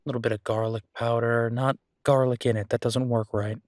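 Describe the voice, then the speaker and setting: A man playing an affectionate, playfully grumbling young werewolf chef in an intimate, casual boyfriend roleplay audio, chatty and halting. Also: medium pitch, little pitch movement, darkish tone, no echo, studio quality, natural voice. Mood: fond, enthusiastic.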